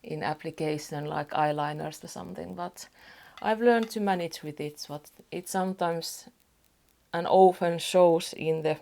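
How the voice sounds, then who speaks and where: Slow-talking low-voiced Finnish woman. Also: vocal fry. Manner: soft-spoken, unhurried, rambling with small restarts. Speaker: beauty vlogger. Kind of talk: casual get-ready-with-me chat. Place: home apartment.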